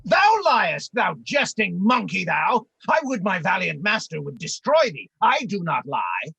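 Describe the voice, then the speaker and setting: An actor playing a fawning, vengeful half-fish monster servant with a raspy, gravelly voice in a Shakespearean radio play. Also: male voice, high-pitched, big pitch swings, unhurried, bright, forceful tone, dry recording, full character voice. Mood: indignant. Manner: grovelling and bitterly indignant, archaic verse speech.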